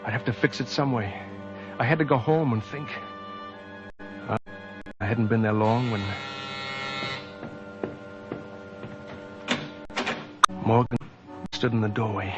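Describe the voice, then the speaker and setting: A man's voice: mid-range voice, steady tone, moderate pace, pauses often, natural voice. A man playing a world-weary cop who narrates an old-time radio crime drama in a terse, brooding, hardboiled manner, on a vintage recording. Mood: troubled, weary. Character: world-weary male cop narrating his own guilty downfall. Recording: dull vintage radio recording, music behind.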